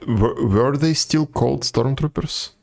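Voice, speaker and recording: A man chatting casually as a live game streamer, musing aloud in a low-key, halting way. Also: vocal fry, darkish tone.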